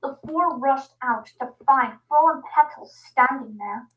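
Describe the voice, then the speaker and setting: Dull-sounding, high-voiced woman reading a story. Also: measured pace. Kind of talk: scripted story narration, amateur dramatic reading.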